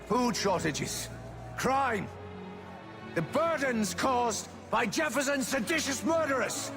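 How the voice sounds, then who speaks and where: An actor playing a grandiose, falsely humble tyrant king in a game, giving a theatrical political speech with dramatic pauses. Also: extremely high pitch, vocal fry, full character voice. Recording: loud music under the speech.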